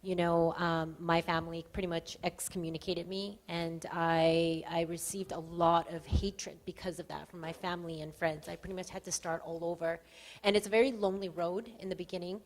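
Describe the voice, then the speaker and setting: A woman on a panel sincerely sharing her personal story, measured with frequent "um". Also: on the low side, steady tone.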